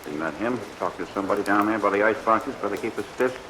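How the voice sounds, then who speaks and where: An actor playing a jittery, impatient newspaper photographer, blunt and slangy, in a vintage radio drama. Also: male voice, lowish voice, quick pace, natural voice. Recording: vintage radio recording with loud rain noise. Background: loud rain.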